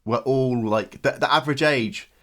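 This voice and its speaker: A man's voice: mid-range voice, moderate pace, fairly bright tone. A man hosting a podcast, asking questions in casual, conversational interview style.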